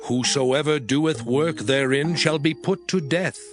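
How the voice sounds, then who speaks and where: A man playing a solemn, commanding biblical lawgiver in a dramatized scripture reading, declaiming in a measured way. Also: a little high, lightly acted. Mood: solemn, instructing. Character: solemn commanding male biblical voice giving divine law. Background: music.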